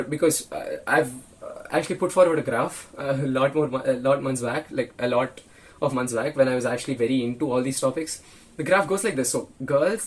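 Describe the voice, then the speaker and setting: A man's voice: mid-range voice, low-quality recording. A nineteen-year-old man talking earnestly and bluntly to the camera, often repeating and restarting his words. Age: young adult, 19. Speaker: video creator. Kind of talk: casual solo talk to camera.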